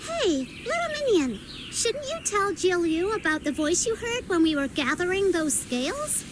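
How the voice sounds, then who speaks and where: A very high, bouncy little girl game character, cheeky and boastful. Her pitch swoops around freely as she bosses and teases. There is clear noise behind the voice. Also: sing-song, normal speed. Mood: prodding. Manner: cheeky, bossy, teasing. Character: brash, playful, boastful small girl companion. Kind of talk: video game character voice acting.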